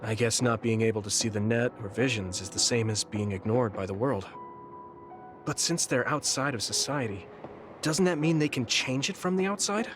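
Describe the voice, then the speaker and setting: A man in an English game dub voicing a kind, earnest young psychic soldier who speaks gently and casually, over music. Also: medium pitch, normal speed, natural voice. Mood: encouraging, firm. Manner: gentle, sincere, casual phrasing. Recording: music behind the voice.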